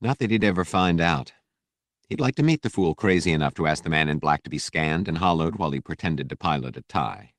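Deep-voiced male audiobook narrator. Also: expressive, a little fast, no room sound. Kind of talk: dramatic scripted fiction narration. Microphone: close studio microphone.

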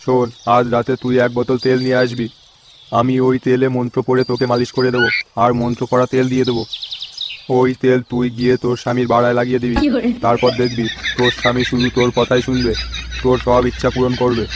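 A man's voice: mid-range voice, monotone, quick pace, background noise, natural voice. A man voicing a sly village tantric in a comedy cartoon, droning out patronising reassurances. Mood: slyly reassuring. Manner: chanting, droning, patronising blessings. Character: sleazy fake village tantric holy man, slyly reassuring.

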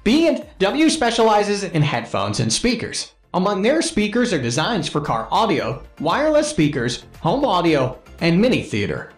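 A man's voice: sits high, huge pitch swings, normal speed, reverberant, faint music behind. A man reading a scripted countdown-style product review in a formal, promotional manner. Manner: formal, promotional read-aloud delivery. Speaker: narrator of product review videos. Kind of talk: scripted top-ten countdown narration.

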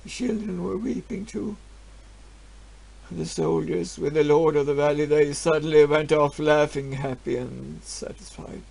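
An actor playing a grieving, stubborn peasant farmer, telling his story in a halting voice that swings from hushed to loud. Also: fairly high pitch, vocal fry, husky, exaggerated voice. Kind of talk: dramatic storytelling monologue.

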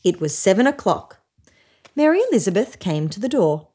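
A woman in her thirties reading a children's story aloud with measured, deliberate phrasing. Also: mid-range voice, sing-song, dark tone, breathy edge. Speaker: storybook reader. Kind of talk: expressive children's read-aloud. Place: small living room, indoors on a sofa.

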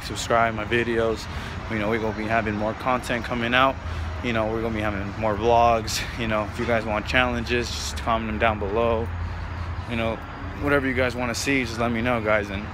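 A man in his twenties chatting casually to his viewers in slangy, repetitive motivational talk, recorded on a handheld phone outdoors. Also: medium pitch. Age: 20s. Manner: informal, slangy, repetitive hustle pep talk. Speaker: vlogger. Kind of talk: casual motivational vlog chat. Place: outdoors at night in an open-air shopping mall. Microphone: handheld phone at arm's length. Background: wind and outdoor urban noise.

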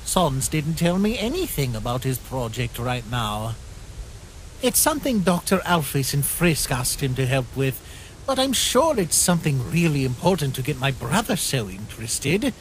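A man voicing a friendly, proud, innocent skeleton in an animated comic dub, theatrical and earnest. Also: fairly high pitch, moderate pace, a little hiss or hum, poor audio.

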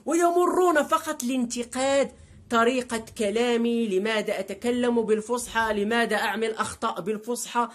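A man's voice: very high-pitched, a little fast. A man in his thirties talks to his webcam from a sofa at home. He is emphatic and gestures constantly, and he repeats words to drive each point home. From Morocco. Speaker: vlogger. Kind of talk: direct-to-camera vlog monologue. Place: living room with a sofa, indoors.

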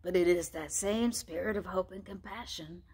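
Magic Frog, a woman doing a gravelly wise frog. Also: fairly low pitch, moderate pace, darkish tone, close to an everyday voice. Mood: comforting. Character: gentle wise old frog, magical and kind.

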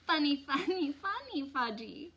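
A woman's voice: sits high, sing-song, measured pace, bright, forceful tone, slight background noise, pitched above the performer's own voice, heavily acted. A woman voicing a mischievous, gleeful toddler boy in babbling baby talk for a storybook. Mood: silly, amused.

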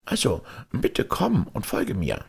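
A man's voice: on the low side, wildly swooping pitch, slow, creaky, slightly rough, voice pushed up, exaggerated voice. A man voicing a small, courteous young male sparrow in a storybook tale, formal and eager. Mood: polite, reassuring.

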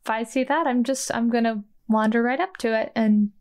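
Female tabletop player, slightly high voice, casual, tentative, thinking aloud. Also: fairly even intonation, a little fast, a little mellow. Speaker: player in an actual play podcast. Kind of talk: casual first-person tabletop role-play.

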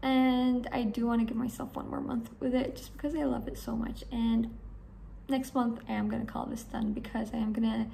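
A young woman with a hoarse voice, chatting enthusiastically to camera in a small room about her beauty products. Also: a little high, level tone, moderate pace, warm tone, slight background noise. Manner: chatty, enthusiastic, rambling with fillers. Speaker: beauty vlogger. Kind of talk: casual talk to camera.

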